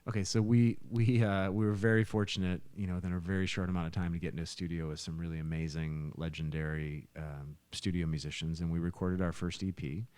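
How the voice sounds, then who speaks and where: A middle-aged man with a very deep voice, recorded very dry. He is a talkative musician telling stories casually as a guest, rambling and circling back as he goes. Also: steady tone, a little fast, hardly any pauses, darkish tone, clear, resonant tone, no echo. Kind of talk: casual chat, storytelling.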